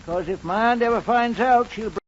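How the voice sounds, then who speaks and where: A man's voice: extremely high pitch, a little fast. An actor playing a rambling, folksy older married man in a 1940s radio comedy. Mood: reminiscing. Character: rambling, long-married older neighbor man, drily comic. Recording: muffled, hissy 1940s radio recording.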